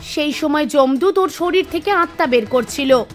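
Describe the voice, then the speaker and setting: High-pitched woman storyteller over music. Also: moderate pace. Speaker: narrator. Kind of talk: scripted storytelling narration for an animated devotional tale. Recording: music bed under the voice. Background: background music.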